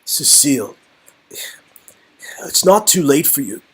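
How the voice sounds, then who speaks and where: A man's voice: mid-range voice, very animated, slow pace, breathy edge, voice pushed up, full character voice, rough recording. A man playing an earnest, talkative hero in a game read-along, pleading and flippantly insistent. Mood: pleading, urgent.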